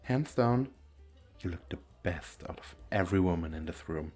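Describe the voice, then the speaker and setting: A man's voice: deep voice, lively intonation, moderate pace, a little mellow, slightly rough, soft background music, voice pushed down. Man putting on a lilting, swoopy, flirty femboy. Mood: flattering, playful. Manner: lilting, effeminate, giggly, coy. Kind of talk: flirty ASMR roleplay addressed to the listener.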